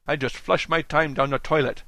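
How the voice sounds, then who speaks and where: A man's voice: a little high, fairly even intonation, moderate pace, bright, forceful tone, no room sound. A man voicing a put-upon, doubtful male team leader who complains glumly in an audiobook. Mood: bitter complaint. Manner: glum, aggrieved, complaining. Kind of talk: audiobook character acting.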